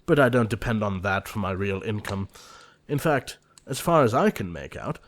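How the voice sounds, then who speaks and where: An earnest young gentleman suitor from a period audio drama, acted by a man. His voice is fairly low. He speaks formally and politely, with a deferential manner. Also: expressive, moderate pace, close to an everyday voice. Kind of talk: theatrical audio drama acting.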